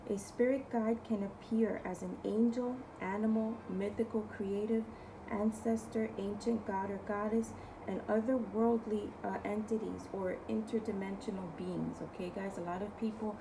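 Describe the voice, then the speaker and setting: Hoarse chatty woman with a New York accent. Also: medium pitch, noisy background. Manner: chatty, keeps asking okay guys. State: losing her voice. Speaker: tarot reader and video host. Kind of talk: casual spiritual talk to camera.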